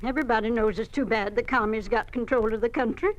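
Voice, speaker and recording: An actress playing a practical, teasing older farm wife in an old-time radio drama, with a homely, gently scolding manner. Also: female voice, a little high, fast, highs cut off, natural voice. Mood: anxious, defensive. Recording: old radio recording, faint noise.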